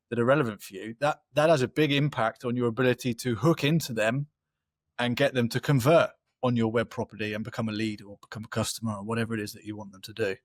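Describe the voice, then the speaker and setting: A man's voice: medium pitch, no echo. A man speaks in a mid-range voice, explaining things like a marketing expert on a webinar. His delivery is conversational and he often checks in with the listener. The sound is close and dry, with little room in it.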